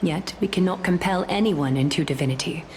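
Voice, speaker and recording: A woman playing a composed, grave ancient leader of heroes in a video game, speaking formally and with measured care. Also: fairly low pitch, a little mellow, a little hiss or hum, lightly acted. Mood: solemn. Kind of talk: scripted video game acting. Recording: music behind.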